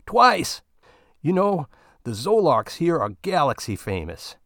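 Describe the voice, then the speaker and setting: A man's voice: medium pitch, sing-song, a little slow, gravelly, no echo, clean studio sound, pitched above the performer's own voice. A man voicing a crude, talkative male space miner with a folksy, ungrammatical drawl. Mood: boastful.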